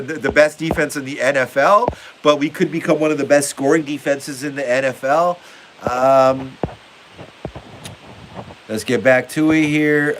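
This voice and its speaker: A man's voice: mid-range voice, fairly bright tone, a little hiss or hum, rough recording. A young man hosting a casual live stream from his basement, reading viewer comments aloud in a rambling, upbeat way full of filler words. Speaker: livestream host reading chat. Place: home basement. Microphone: phone.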